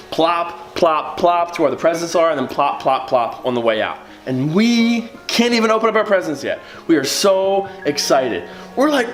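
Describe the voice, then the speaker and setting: A man's voice: on the high side, a bit bright. An American man, a pastor telling a personal story in a theatrical, emphatic, comedic way with a giggly edge.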